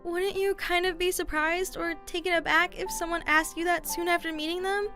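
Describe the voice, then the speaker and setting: A woman's voice: very high-pitched, fairly even intonation, moderate pace, music in the background. A woman voicing a polite, frank, shy middle-school girl in a manga dub, speaking earnestly and hesitantly. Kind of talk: anime-style manga dub dialogue.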